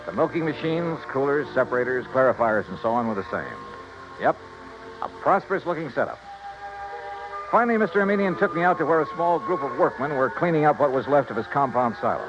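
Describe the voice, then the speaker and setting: An old muffled radio recording with music behind it carries an actor as a wisecracking American male investigator. His delivery is brisk and theatrical, with pitch that swings freely. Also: lively intonation, natural voice. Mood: brisk, matter-of-fact. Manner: breezy, wisecracking. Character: wry, brisk freelance insurance investigator, a man. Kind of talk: 1950s radio detective acting and narration.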